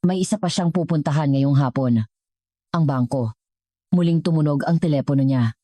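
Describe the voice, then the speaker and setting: Low, mellow woman narrating a drama. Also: normal speed, no room sound. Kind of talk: scripted dramatic story narration.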